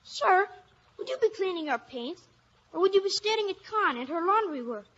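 A woman's voice: very high-pitched, expressive, normal speed, a bit bright. A woman playing a cheeky, curious Irish village child in an old-time radio drama. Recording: dull old radio recording.

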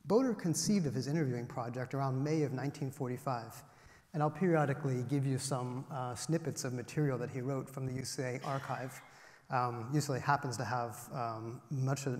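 A man in his forties giving an academic lecture from a podium, measured and explanatory, stressing each item as he lists them. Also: medium pitch, steady tone, a little mellow. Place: large auditorium, at a podium. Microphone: podium microphone. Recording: roomy hall with long reverb.

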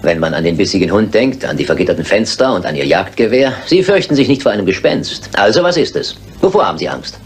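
A man acting a brisk, commanding, matter-of-fact detective in a German radio crime play. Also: lowish voice, big pitch swings, breathy edge. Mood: calm questioning. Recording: noisy old radio play recording.

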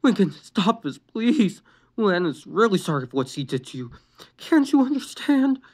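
A man's voice: sits high, big pitch swings, fairly quick, a little mellow, voice pushed up. A man doing a distressed, pleading mother. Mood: urgent pleading. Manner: pleading, urgent coaxing. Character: gentle, distressed mother soothing her son.

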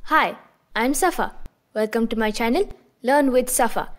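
A woman hosting her own channel, giving a scripted beginner lesson with calm, clear prompts. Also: on the high side, moderate pace. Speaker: language teacher and channel host.

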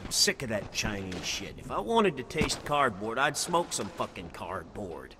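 Surly low-voiced sentry. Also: male voice, very animated, a little slow. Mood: surly, grumbling. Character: surly grumbling male enemy sentry. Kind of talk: video game voice acting, idle banter. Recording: distant voice with outdoor noise.

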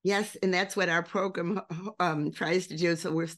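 A woman's voice: on the low side, fairly even intonation, moderate pace, fairly bright tone. An older woman hosting a podcast interview over a video call from home, friendly with a light touch of humor. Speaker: podcast host. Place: home room with shelves.

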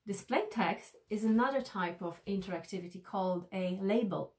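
Measured woman explaining a tool to camera. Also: mid-range voice. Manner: careful, measured, frequent "uh" fillers. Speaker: e-learning designer. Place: small decorated studio room, indoors. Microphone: camera framed at desk, mid shot.

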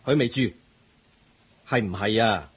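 An actor playing a calm, polite school counselor with a husky voice in a radio drama. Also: male voice, on the low side. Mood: questioning. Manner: measured, polite. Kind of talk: 1980s radio drama acting.

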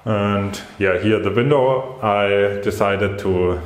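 A man showing his basement workshop while filming, talking in a halting way with many fillers and restarts, recorded on the camera mic. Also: lowish voice. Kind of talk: casual walkthrough, narrating while filming. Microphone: handheld camera mic. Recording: echoey bare room.

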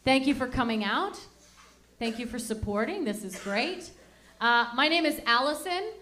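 Canadian lady in her 30s doing standup, high voice, chatty and rambly, handheld mic in a small club. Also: animated, normal speed, a bit bright. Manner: chatty, rambling, self-mocking. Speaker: stand-up comedian and MC. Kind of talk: stand-up comedy set. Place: small dark lounge with a live audience.

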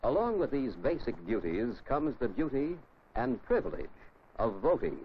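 A man's voice: mid-range voice, huge pitch swings, normal speed. A man with an American accent reading didactic scripted narration for a 1950s instructional film, addressing the listener directly. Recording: muffled, noisy old 1950s film soundtrack.

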